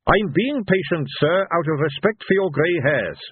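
A man's voice: on the high side, expressive, normal speed, narrow, tinny audio, a little hiss or hum, voice pushed up, close to an everyday voice. A man voicing a young watch captain who is formal, courteous, earnest and unflappable in a fantasy audiobook. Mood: earnest, firm.

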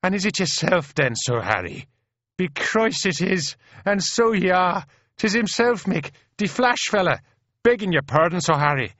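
Irish infantry private: effusive, deferential, high-pitched and very animated storybook character, close dry sound. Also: male voice, normal speed, no room sound, dull audio, heavily acted. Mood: amazed, delighted. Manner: exclamatory, blarneying, effusive.

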